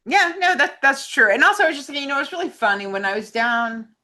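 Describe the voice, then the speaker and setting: A woman's voice: high voice, sing-song, fast, sharp tone. A woman co-hosting a casual conversation and reading out questions from fans. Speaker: co-host reading fan questions.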